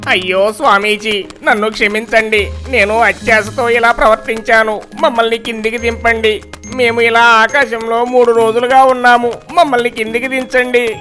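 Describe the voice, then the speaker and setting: A woman's voice: fairly high pitch, steady tone, moderate pace, a bit bright, soft background music, natural voice. A woman voicing a greedy, scheming village man in a children's moral story. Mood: pleading, remorseful.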